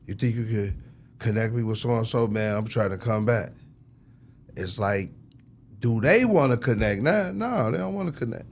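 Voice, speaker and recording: A man's voice: medium pitch, animated, moderate pace, slight background noise. A man talking casually as a record executive in an interview, emphatic and slangy, repeating phrases for effect. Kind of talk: casual, animated interview talk.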